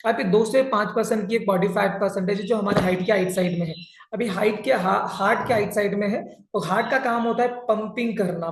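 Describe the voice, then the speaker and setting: A man in his thirties, a fitness and health coach, patiently explaining body composition in a plain teaching style. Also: high-pitched. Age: 30s. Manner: plain, patient explaining with many English terms. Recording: roomy echo.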